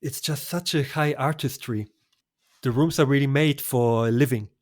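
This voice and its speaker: A man's voice: medium pitch, normal speed, no room sound. Enthusiastic male travel guest, dry sound. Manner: enthusiastic, effusive recommendations with small laughs. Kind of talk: conversational travel interview.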